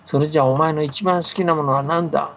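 A man voicing a greedy, gluttonous male crow that quickly turns angry and gruff, heard over a muffled remote line. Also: a little high, fairly even intonation, fairly bright tone. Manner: gruff, blustering demands.

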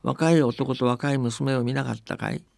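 A man's voice: mid-range voice, fairly even intonation, moderate pace, vocal fry, a little mellow, no room sound, studio quality, close to an everyday voice. A man voicing a witch's obedient elder daughter, speaking plainly and bluntly in a storybook tale. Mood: matter-of-fact.

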